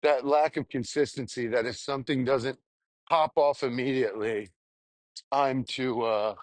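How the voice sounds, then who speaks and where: A man talking casually to his audience in a rambling, self-deprecating spoken journal, halting and crudely sweary. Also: mid-range voice, steady tone, no echo. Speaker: vlogger.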